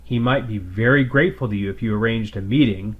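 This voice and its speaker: A man plays a sly, flirtatious woman in a space opera story. She flatters and coaxes in a drawling, suggestive way, always angling for advantage. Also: on the low side, fast talker, narrow, tinny audio, natural voice. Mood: coaxing. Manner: drawling, coaxing innuendo.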